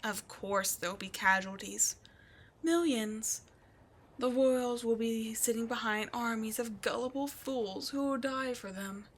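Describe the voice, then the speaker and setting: A woman voicing an immortal demon queen in an ASMR roleplay, imperious, seductive and cruel, speaking deliberately and often breathily. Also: on the high side. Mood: cold, scheming. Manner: slow, deliberate, haughty, often breathy and whispered. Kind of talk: ASMR roleplay villain monologue.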